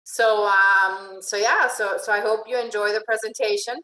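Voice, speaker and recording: A woman's voice: mid-range voice, fairly even intonation, normal speed, bright, forceful tone. A woman who grew up in Venezuela, a life and relationship coach giving an informal online presentation. She talks in a chatty way, often restarting her sentences and checking in with "right?". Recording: echoey room.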